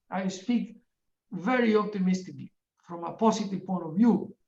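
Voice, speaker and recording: A middle-aged man from Ecuador gives a formal, earnest opening speech, pausing often with fillers. His voice has a gravelly edge and sounds roomy and set back from the mic. The sound is dull and muffled. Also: high-pitched. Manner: earnest, deliberate, halting with frequent fillers. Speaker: webinar chair, UN division director. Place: office in a large UN building. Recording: echoey, distant and dull-sounding.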